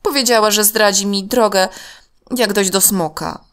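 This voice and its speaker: A woman's voice: mid-range voice, moderate pace, a bit bright, pitched above the performer's own voice, natural voice. A woman voicing an anxious, shy young boy in a children's story, earnest and hesitant.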